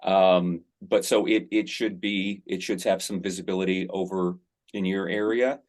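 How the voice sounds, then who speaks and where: A man's voice: low-pitched, fairly even intonation, moderate pace, darkish tone. A middle-aged man, an actor, answering interview questions in a rambling, enthusiastic way with many fillers.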